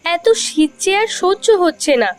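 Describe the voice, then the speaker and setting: A woman's voice: extremely high pitch, normal speed. A woman playing a worried, complaining poor farmer's wife in a cartoon fairy tale, with a plaintive delivery.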